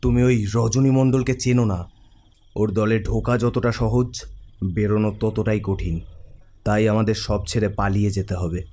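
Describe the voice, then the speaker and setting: A man playing a cocky, impatient young street thug in an animated horror cartoon. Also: on the low side, moderate pace, lightly acted. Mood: coaxing. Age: young adult. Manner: swaggering, impatient.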